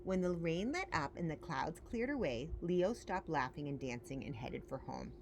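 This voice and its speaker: A woman's voice: sits low, expressive, normal speed, vocal fry. A woman reading a children's picture book aloud as a story-time reader, expressively and with dramatic emphasis. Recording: faint background noise.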